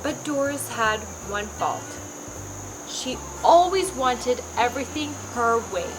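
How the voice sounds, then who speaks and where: A woman's voice: fairly high pitch, animated, slow pace, rough recording. A woman storyteller narrating a scripted story for children. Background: music.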